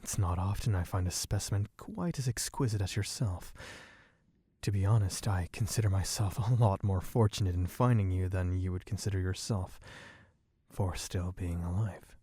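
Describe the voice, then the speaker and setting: A man voicing a suave, possessive, bloodthirsty vampire in a low, husky, teasing voice for an ASMR roleplay. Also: mellow, dry recording, clean studio sound. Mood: smug, mock-tender. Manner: slow, teasing, theatrically genteel, purring endearments.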